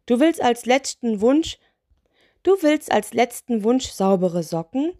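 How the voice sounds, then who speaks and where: A woman's voice: a little high, lively intonation, normal speed, a little mellow, dry recording, clean studio sound, voice pushed up. A woman voicing a gigantic, grumpy male lantern genie for a children's story, huffy and sarcastic. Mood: annoyed.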